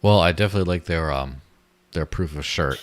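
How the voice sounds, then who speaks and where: Very deep-voiced joking host. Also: male voice, brisk. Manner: joking, rambling, laughs at own jokes. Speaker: podcast host. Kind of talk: casual chat.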